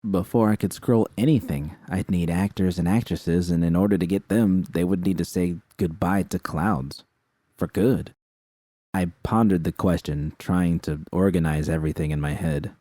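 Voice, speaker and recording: A man with a deep, dark-toned voice reads first-person fiction as a narrator. The sound is very dry and close, with no room on it. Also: fairly even intonation, normal speed, dry recording.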